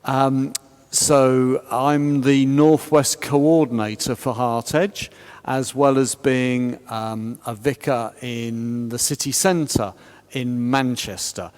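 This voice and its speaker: A man with a big, booming voice chairs a panel in a large church, his words trailing off into a long ringing reverb. He keeps it chatty with easygoing, self-deprecating asides, at an average pitch for a man. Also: steady tone, slow pace, darkish tone, clean tone.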